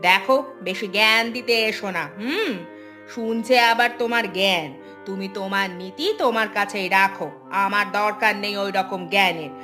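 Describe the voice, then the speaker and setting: A woman's voice: medium pitch, moderate pace, pushed and bright, soft background music, close to an everyday voice. A woman voicing a spiteful, nosy, brazen village gossip in a cartoon, with a taunting, scornful manner. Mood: scornful, defiant. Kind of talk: cartoon voice acting.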